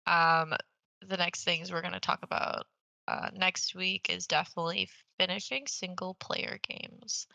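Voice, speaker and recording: A woman's voice: fairly low pitch, level tone, a little slow, vocal fry, pushed and bright, dry recording, rough recording. A young woman co-hosting a gaming podcast in casual, slangy chat, swearing freely and teasing playfully. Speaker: podcast co-host.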